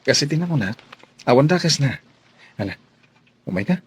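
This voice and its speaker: An actor playing an easygoing young master in a radio drama, casual and coaxing. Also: male voice, medium pitch, sing-song, moderate pace, husky. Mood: coaxing, teasing. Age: young adult.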